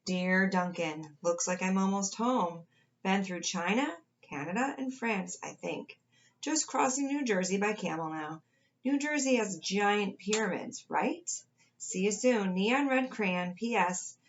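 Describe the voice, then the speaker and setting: A woman's voice: mid-range voice, measured pace, close to an everyday voice. Lady doing a chatty clueless lost crayon from a kids book, bit muffled. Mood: breezy, unsure. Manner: chatty, reproachful, questioning. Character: forgotten neon red crayon, breezy clueless reproachful traveller.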